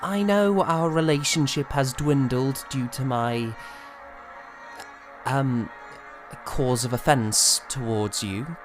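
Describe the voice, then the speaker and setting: Music plays under a man acting as a proud young composer in a period audio drama. He speaks at an unhurried pace in formal, old-fashioned phrases, with a theatrical storyteller's delivery. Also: mid-range voice, natural voice. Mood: deferential, hesitant. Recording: music behind the voice.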